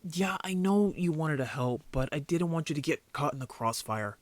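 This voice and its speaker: A man playing an injured young werewolf, a defensive, easily embarrassed brother who talks casually and haltingly in an audio drama roleplay. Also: a little high, animated, no echo, close to an everyday voice. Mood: earnest, defensive.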